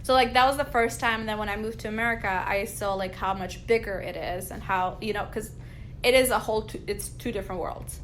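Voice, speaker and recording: A woman's voice: medium pitch, fairly quick, fairly bright tone, slight background noise. A woman from Albania hosting a casual interview, chatty and peppered with "like". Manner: chatty, uses "like" often. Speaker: podcast host.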